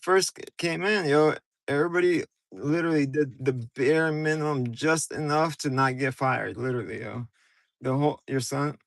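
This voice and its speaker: A man's voice: on the high side, fairly even intonation, moderate pace, creaky, no echo. A man from Ukraine hosting a casual, rambling livestream from his car on his phone, talking loose and slangy straight to his viewers. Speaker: livestream host.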